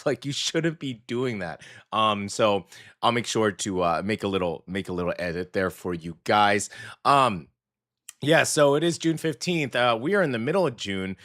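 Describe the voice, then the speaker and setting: Male morning radio host, hoarse gravelly voice, casual upbeat patter. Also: lowish voice, fairly bright tone. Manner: upbeat and chatty, with many fillers. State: hoarse, scratchy after a weekend out.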